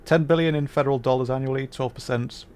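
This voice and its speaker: A man streams a video game and talks along as he plays. His voice sits at a middling pitch as he chats casually with his viewers. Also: steady tone, fast, a little mellow, clear, resonant tone. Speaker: livestreamer playing a video game. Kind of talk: casual live game commentary and chat with viewers.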